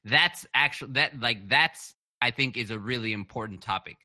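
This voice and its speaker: A man's voice: mid-range voice, moderate pace, bright, forceful tone. A man whose first language is Spanish, co-hosting a podcast in casual chat, laughing often and stumbling over words.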